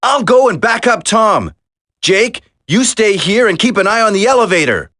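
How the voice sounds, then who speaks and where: High-pitched, animated earnest male operative. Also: normal speed, no room sound, full character voice. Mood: resolute. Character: earnest, steady male special forces operative. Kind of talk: dramatic video game voice acting.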